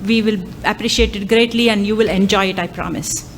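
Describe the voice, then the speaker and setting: A woman's voice: mid-range voice, fairly even intonation, a little fast, a little hiss or hum. A woman whose first language is Tamil, an AI lecturer and workshop chair, speaking live at a conference with many ums, uhs and restarts. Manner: hesitant, many fillers and restarts. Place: conference hall.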